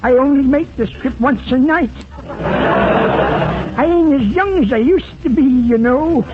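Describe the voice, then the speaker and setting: Apologetic old male waiter, high, animated voice, 1940s radio comedy on a thin, muffled recording. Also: slow, very noisy, full character voice. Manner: deferential, comically hesitant. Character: apologetic, weary older male nightclub waiter.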